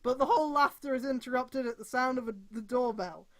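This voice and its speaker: A woman's voice: sits high, fairly quick, a bit bright. A woman casually reading a story aloud on a stream, breaking off for irreverent, sweary commentary.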